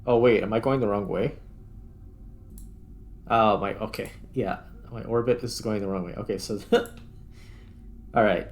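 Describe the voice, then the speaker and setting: A man's voice: lowish voice, a little slow, pauses often, a little hiss or hum. A man giving casual gameplay commentary, thinking aloud as he plays. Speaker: gaming video host.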